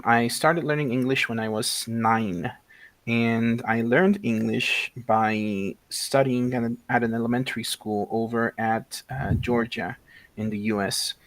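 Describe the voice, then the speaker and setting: A man in his thirties from Mexico talks through a casual interview, pausing often with fillers and restarting his sentences. His voice sits at an ordinary middle pitch. The sound is dry, with no room echo. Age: 30s. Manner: hesitant, many fillers and restarts. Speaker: guest.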